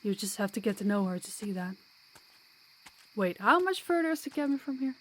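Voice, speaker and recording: A woman's voice: medium pitch, very animated, brisk. Female vampire guide voiced by a woman, mid-range voice, chatty, kind and teasing, for an audio roleplay. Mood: curious, wry. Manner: chatty, reassuring, playful.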